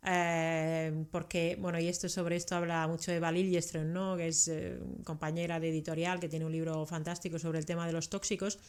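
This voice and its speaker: Lower-pitched Finnish woman explaining health topics. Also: flat delivery, moderate pace, few pauses, darkish tone, clear, resonant tone. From Karelia, southeastern Finland. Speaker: interview guest, doctor and science communicator. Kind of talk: conversational expert explanation.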